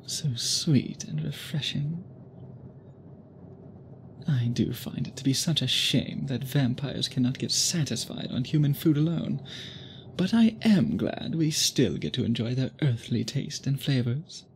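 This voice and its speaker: A man playing an obsessive, tender vampire suitor in an intimate ASMR roleplay, speaking in formal, gently doting phrases. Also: mid-range voice, lively intonation, measured pace, vocal fry, background noise. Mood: doting, courtly.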